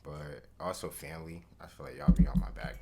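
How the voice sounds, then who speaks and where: A young man being interviewed as a recording artist, talking in a relaxed, slangy way and thinking aloud with fillers. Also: rumbling low, brisk, vocal fry, heavy background noise. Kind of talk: casual interview chat.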